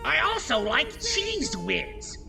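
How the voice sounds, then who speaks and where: A woman playing a blunt, sardonic studio sidekick with a gravelly voice and deadpan delivery in a horror comedy drama. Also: very high-pitched, slow pace, heavily acted. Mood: dry, sardonic. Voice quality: gravelly, creaky. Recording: music behind.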